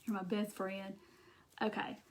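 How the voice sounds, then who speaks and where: A woman's voice: medium pitch, level tone, measured pace. A woman with a Southern accent hosting a live craft demonstration, chatting straight to her viewers and answering their comments as she works. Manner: rambling with restarts and laughs. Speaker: craft video host.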